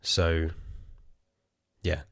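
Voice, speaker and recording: A tired, hoarse man with an English accent, rambling casually as host of his own podcast, swearing and poking fun at himself. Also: extremely slow, no room sound, studio quality. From England. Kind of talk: casual solo podcast chat.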